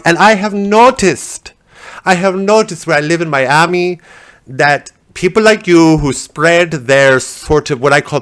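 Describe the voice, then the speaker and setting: A prim, over-precise caller voiced by a man explains himself at length in a high voice. The tone is smooth and resonant. The sound is dry and close. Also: dry recording, rough recording. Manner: pedantic, wordy, over-precise. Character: pedantic wordy male caller.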